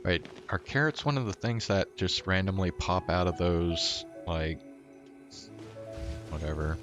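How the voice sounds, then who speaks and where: A man gives casual commentary while streaming a game. His voice is deep and he talks slowly, sometimes reading dialogue choices aloud. Game music is clearly audible behind him. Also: animated.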